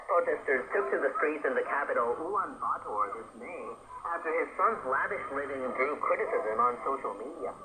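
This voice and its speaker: A man reading scripted international news as an anchor, in a measured, formal and clearly enunciated delivery. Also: mid-range voice. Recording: shortwave radio broadcast with loud static noise.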